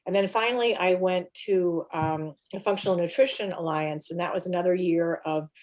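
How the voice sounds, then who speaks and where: Low-voiced woman nutrition coach. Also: normal speed, darkish tone, poor audio. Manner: explanatory, many restarts and fillers. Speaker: functional nutrition and health coach. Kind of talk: casual interview talk.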